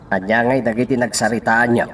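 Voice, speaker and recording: A man's voice: mid-range voice, steady tone, fast talker, a bit bright, a little hiss or hum, natural voice. A man acting as a hesitant, earnest young suitor in an Ilocano radio drama. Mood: concerned.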